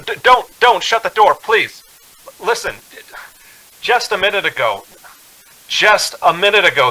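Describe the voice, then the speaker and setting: A man playing a haunted, increasingly desperate driver in a radio drama, brooding and halting as he tells his story. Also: fairly high pitch, pushed and bright, exaggerated voice, low-quality recording. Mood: agitated pleading. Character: haunted, increasingly desperate male motorist narrating his journey. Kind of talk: dramatic radio play narration. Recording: music behind the voice.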